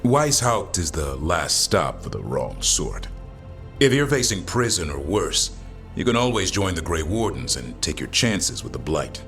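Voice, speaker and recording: An actor playing a wry, earnest warrior of an honorable fantasy order in a video game, with dry, measured delivery. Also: male voice, low-pitched, very animated, a bit bright, faint music behind, heavily acted. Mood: wry, relaxed.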